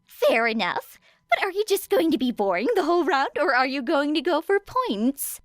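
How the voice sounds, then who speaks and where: A woman voicing an excitable, boastful teenage girl inventor in an audiobook, exuberant and dramatic. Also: high voice, normal speed, no echo, clean studio sound, pitched above the performer's own voice. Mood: sly, challenging.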